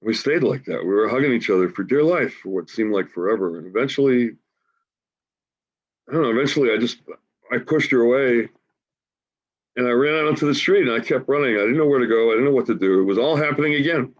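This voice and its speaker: A man in his forties acting a grieving, wry homeless man in a staged play reading, halting and emotional in a conversational way. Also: mid-range voice, lightly acted. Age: 40s. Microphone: video call. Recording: dull, lo-fi video call audio.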